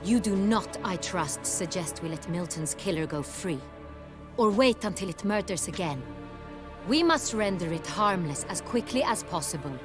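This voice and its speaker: A woman's voice: lowish voice, lively intonation, normal speed, over music, natural voice. A woman playing a proud, imperious duchess in a video game, formal and commanding in manner. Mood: stern.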